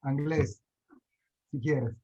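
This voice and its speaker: A man from Italy with an Italian accent, a club president greeting a webinar, speaking haltingly and searching for words. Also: mid-range voice, steady tone, warm tone, highs cut off. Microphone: video call.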